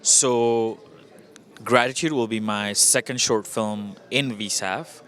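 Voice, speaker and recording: A man's voice: fairly low pitch, slow pace, a bit bright. A man from Indonesia giving casual interview answers as a filmmaker, upbeat and reflective.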